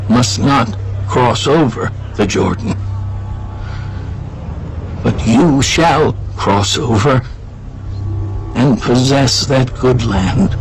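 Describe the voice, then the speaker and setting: A man playing a solemn, aged prophet exhorting his people in a grave, deliberate way, as in a dramatized scripture reading. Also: medium pitch, creaky, full character voice.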